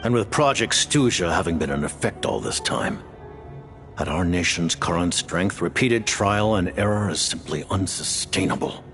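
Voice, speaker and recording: A stately video game ruler with an extremely low, gravelly voice. He speaks in formal, measured phrases with a wide, expressive swing in pitch. He sounds composed and used to command. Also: full character voice. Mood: grave, resolute. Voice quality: very deep, gravelly. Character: commanding, composed male leader of a nation.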